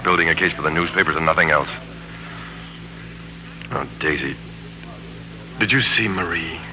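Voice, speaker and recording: A man's voice: extremely low pitch, normal speed. An actor playing a troubled, earnest father in a 1940s radio drama, urgent and regretful. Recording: noisy old radio broadcast recording.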